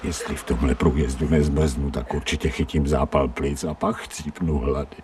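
An actor playing a scrawny, streetwise stray dog in a radio drama, grumbling in colloquial speech with sardonic self-pity. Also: male voice, a bass voice, steady tone, far from the mic, background noise. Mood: suffering, bitter. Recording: 1990 radio drama, off-mic.

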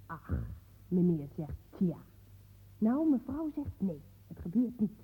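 A woman's voice: mid-range voice, sing-song, slow, mellow, breathy edge, full character voice. A woman playing a bossy, scornful mother in a radio play, nagging sarcastically in colloquial speech. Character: bossy, nagging, scornful mother waiting at her daughter's school. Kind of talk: naturalistic radio play dialogue. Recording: dull, muffled old radio play recording.

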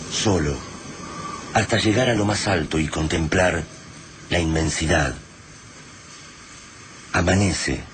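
A man with an Argentine accent performing as the grave, poetic narrator of a radio drama about war. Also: low-pitched. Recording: noisy ambience behind the voice.

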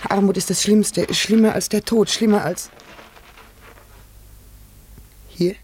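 An actress playing an anxious innkeeper's wife in a German radio drama, fearful, pleading and terrified of poverty. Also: female voice, medium pitch, fairly even intonation, normal speed, darkish tone, a little hiss or hum, lightly acted. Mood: dread, bitter.

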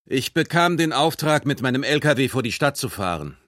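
An actor playing a truck driver giving a factual, deliberate statement in a documentary radio drama. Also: male voice, mid-range voice, very animated, a bit bright, no room sound, clean studio sound. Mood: factual, uneasy. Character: male Nazi-era truck driver giving matter-of-fact interrogation statement.

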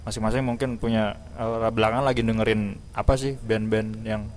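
A man's voice: on the low side, little pitch movement, fairly quick, slight background noise. Casual male radio host. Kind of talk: casual music interview.

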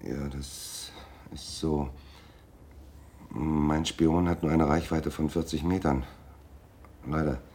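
A very low, creaky male voice for a shabby one-man private detective in a radio drama. He is dry and officious, phrasing things stiffly and grumbling, with faint noise behind him. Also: steady tone, slow pace, long pauses, darkish tone, full character voice. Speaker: actor. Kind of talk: German radio drama acting.

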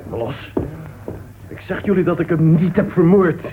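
Male actor as accused man, defiant, agitated, highish voice, radio drama, clearly noisy recording. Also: measured pace, close to an everyday voice. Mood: desperate, defiant. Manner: agitated, defensive. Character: cornered male murder suspect, defiant, desperately protesting innocence. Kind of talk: radio detective drama acting. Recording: noisy, tinny, distant old radio recording.

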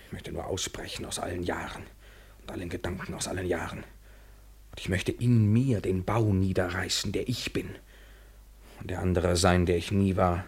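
An actor in a literary German radio play playing a brooding, bitter lover, intense and eloquent, swinging from hushed to vehement. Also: male voice, sits low, fairly even intonation, moderate pace, a little hiss or hum. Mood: hushed, anguished. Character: brooding, bitter lover, a man wrestling with himself.

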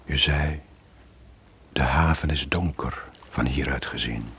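A man's voice: very deep voice, takes it slowly, a bit bright, a little hiss or hum, full character voice. An actor with a Flemish accent playing a brooding seaman recalling words in a radio play. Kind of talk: 1980s radio play acting.

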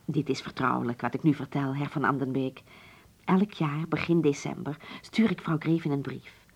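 Husky, low-voiced genteel hotel proprietress. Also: female voice, steady tone. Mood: confiding, dignified. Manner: formal, stately storytelling with dramatic pauses. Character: genteel, nostalgic Berlin hotel proprietress of the old school. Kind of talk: Dutch radio drama acting.

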